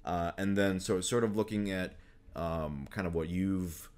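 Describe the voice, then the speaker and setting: Low-voiced man, halting chat. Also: a little mellow. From United States. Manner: halting, many restarts and fillers. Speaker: host, voice actor. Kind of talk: casual interview chat.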